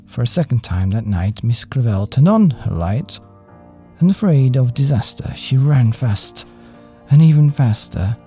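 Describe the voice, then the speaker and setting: A woman reading a rhyming children's storybook as a podcast storyteller, expressive and measured. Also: very deep voice, soft background music. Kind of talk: scripted storybook narration in rhyme.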